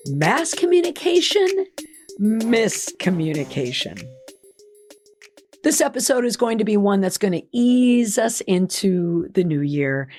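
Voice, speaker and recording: Hoarse-voiced woman hosting a podcast. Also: mid-range voice, darkish tone. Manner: chatty, reads list entries aloud with asides. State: hoarse, losing her voice. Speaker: podcast host. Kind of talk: solo podcast monologue.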